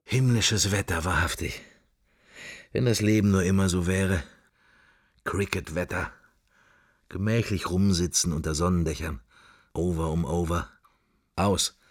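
A man's voice: low-pitched, no echo, clean studio sound. An actor playing a wry middle-aged everyman musing to himself in clipped fragments in a radio play. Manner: clipped, wandering interior monologue. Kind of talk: intimate literary radio play monologue.

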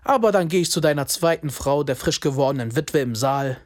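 A man's voice: fairly high pitch, fairly quick, close to an everyday voice. An actor in a radio drama playing a young man at his estranged father's funeral, telling it in a bitter, wry inner monologue. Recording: spoken over loud music. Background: loud guitar music.

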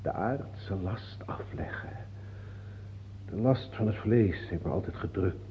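A man acting in a radio play as an old imprisoned bishop, mocking and melancholic, declamatory and given to breathy murmurs. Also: low voice, takes it slowly. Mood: scornful. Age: older. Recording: dull old radio recording, hiss and hum.

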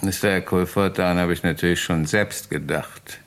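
An actor playing a courteous but sceptical male police inspector in a radio crime drama, speaking in a formal, deliberate, politely probing manner. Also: sits low, fairly even intonation. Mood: measured, probing.

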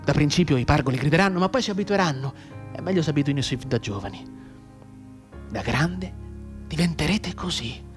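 Un attore che interpreta in un radiodramma un giovane reduce di guerra mutilato, amaro e sardonico, con tono declamatorio e appassionato. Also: male voice, fairly high pitch, animated, brisk, long pauses, close to an everyday voice. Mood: bitter, sardonic. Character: bitter, maimed young war veteran, anguished and sardonic. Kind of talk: dramatic radio theatre monologue. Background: music under the voice.

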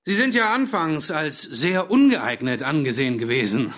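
Higher-pitched, patronising naval officer. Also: male voice, sing-song, a bit bright. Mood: pompous, approving. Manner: formal, deliberate, condescending military address. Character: stiff, patronising naval officer, a lieutenant commander. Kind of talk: radio drama acting.

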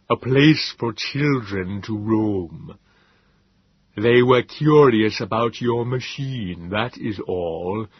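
A man voicing a calm, clinical male Morlock who speaks in a slow, even, measured way. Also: lowish voice, husky, no echo, muffled recording, full character voice. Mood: reproachful.

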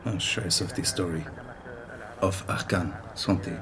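A man's voice: deep voice, steady tone, a little slow, crowd noise. An actor playing a worldly, wry and melancholic man in a radio drama, musing and dropping in French words.

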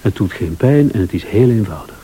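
A man acting a scheming Flemish husband in a radio thriller, smooth-talking yet impatient. His voice sits fairly low and has a dark, relaxed tone. There is noticeable tape hiss on the recording. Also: a little fast, lightly acted. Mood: calm, coaxing.

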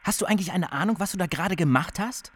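An actor playing an excitable young telephone tinkerer who stammers and repeats his words in naturalistic radio drama dialogue. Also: high voice, fast, slightly rough. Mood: amazed, excited. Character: excitable young male telephone tinkerer, easily amazed and flustered.

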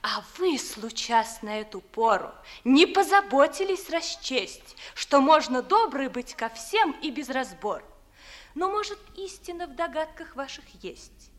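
An actress playing a proud, cool young society lady in a 1950s Russian verse radio play, reproachful and haughty in a theatrical style. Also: sits high. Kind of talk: 1950s Russian classical stage acting in verse.

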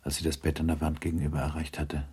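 A man narrating a literary radio play in a grave, measured, restrained manner. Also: very deep voice, completely flat delivery, noisy background. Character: grave, restrained narrator of a 19th-century novel.

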